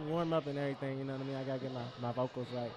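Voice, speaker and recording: A man's voice: mid-range voice, very fast, background noise. A man chatting casually as a radio show guest, laid-back and slangy, swearing freely. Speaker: guest comedian. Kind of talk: casual chat.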